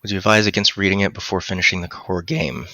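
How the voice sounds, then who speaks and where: Bright, low-pitched man chatting. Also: quick pace, dry recording. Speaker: audiobook narrator and producer co-hosting a live stream. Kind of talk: casual live-stream discussion, reading out viewer questions. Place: indoor room at home. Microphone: headset mic.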